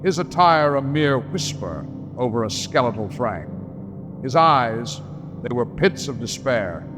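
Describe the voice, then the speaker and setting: A man's voice: medium pitch, animated, slow, music in the background. An actor playing a tormented man who recounts seeing a phantom, in a florid, dramatic gothic monologue. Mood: dread, urgent. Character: tormented theatre storyteller, horrified witness of a phantom. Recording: reverberant hall with clear background ambience noise.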